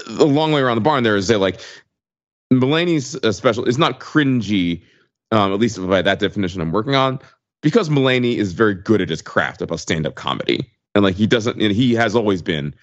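A deep-voiced man works through an argument in a casual podcast discussion. He is tentative, hedging and rephrasing as he goes. The recording is dry and a little dull. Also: no room sound. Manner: tentative, hedging, frequent self-correction. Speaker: podcast panelist.

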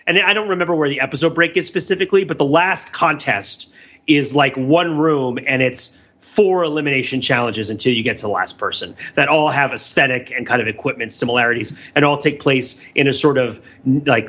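A man's voice: mid-range voice, bright, forceful tone, breathy edge, dull audio. A man talking casually as a podcast panelist, discursively explaining with examples and frequent check-ins.

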